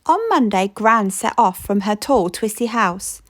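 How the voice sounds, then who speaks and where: Slow, animated picture-book reader, woman, dry close mic. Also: medium pitch, no echo. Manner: slow, expressive read-aloud with playful rhythm. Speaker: storybook reader. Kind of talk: reading a children's picture book aloud.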